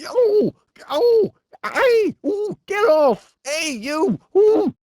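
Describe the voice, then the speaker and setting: Eccentric cartoon inventor, middle-aged, Northern English accent, hugely animated intonation, slow pace, cheerful, quaintly polite, dithering. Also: male voice, extremely high pitch, no room sound, clean studio sound, exaggerated voice. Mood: flustered. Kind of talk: cartoon video game voice acting.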